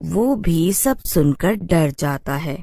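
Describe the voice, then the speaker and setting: A woman narrates a scripted story at a slow, measured pace. Her voice sits fairly low for a woman and is recorded dry and close. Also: expressive, gravelly, dark tone, dry recording.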